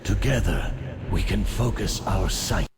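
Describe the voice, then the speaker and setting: Deep, slow, ominous schemer. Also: male voice, background noise. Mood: ominous, measured. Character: ominous, commanding dark male schemer. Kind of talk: dramatic game cinematic acting.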